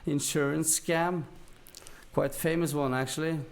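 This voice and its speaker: A man's voice: fairly high pitch, steady tone, measured pace, gravelly. A man with a Norwegian accent telling stories casually as a podcast guest, in a rambling, blunt, dry-humored way. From Norway. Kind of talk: casual storytelling.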